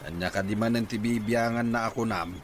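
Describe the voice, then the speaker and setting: A fairly low male voice with a Filipino accent and clear background noise. A man acting as a practical farmer in a conversational radio drama. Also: fairly quick, close to an everyday voice. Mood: matter-of-fact.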